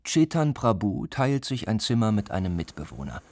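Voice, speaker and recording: A man reading a scripted report as a reporter, in a calm, measured way. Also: on the low side. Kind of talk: scripted narration.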